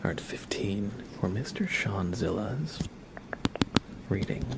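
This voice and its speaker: A soft-spoken man whispering gently and politely in a casual ASMR livestream, chatting with viewers and reading cards. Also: lowish voice, expressive, slow pace, vocal fry, heavy background noise.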